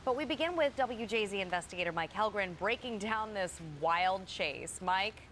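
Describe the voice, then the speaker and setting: A woman's voice: medium pitch, lively intonation, normal speed, a bit bright, a little hiss or hum. A woman anchoring a television newscast, reading a scripted story introduction. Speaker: TV news anchor. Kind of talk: scripted news narration.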